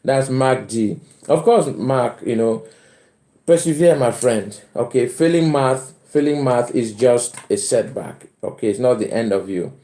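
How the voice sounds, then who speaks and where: Mellow Cameroonian man in a roomy space. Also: mid-range voice. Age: middle-aged. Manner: chuckles often, repeats phrases for emphasis. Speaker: live stream host. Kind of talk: casual live chat, reading out and answering comments. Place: bedroom at home. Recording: roomy indoor echo.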